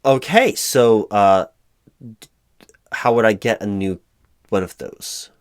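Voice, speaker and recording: A man playing a flustered, hapless corporate recruiting manager in a one-sided spoken roleplay, hesitant and stammering, with an awkwardly polite, monotonous delivery. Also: fairly low pitch, takes it slowly. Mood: hesitant, frustrated. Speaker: job interviewer.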